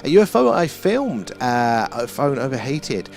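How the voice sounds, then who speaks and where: A man's voice: medium pitch, big pitch swings, a little slow, creaky, a little mellow. British man chatting over background music. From United Kingdom. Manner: musing, loosely philosophical, mildly sweary. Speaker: live stream host. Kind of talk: casual rambling livestream chat.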